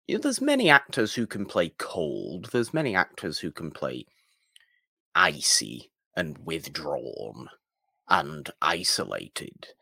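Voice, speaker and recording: A man co-hosting a film podcast, chatting casually and haltingly, repeating and rephrasing his points for emphasis. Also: sits low, creaky, bright, forceful tone, no echo, clean studio sound.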